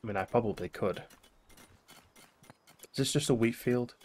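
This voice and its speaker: A man's voice: medium pitch, moderate pace, a little mellow, slight background noise. A man with a stuffy, congested voice from a cold, chatting casually during co-op gameplay. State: has a cold, run down. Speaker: gaming video host.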